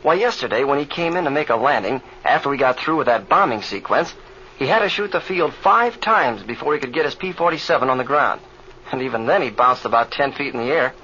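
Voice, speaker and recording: A man's voice: medium pitch, lively intonation, brisk, sharp tone. A man playing an earnest, eager young pilot in an old radio drama, on a muffled, hissy recording. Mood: earnest concern.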